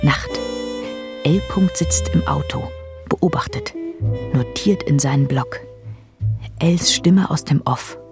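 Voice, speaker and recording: A woman voicing a detached narrator who reads terse screenplay directions in a hushed manner for a radio drama. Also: low voice, slow, long pauses, music in the background.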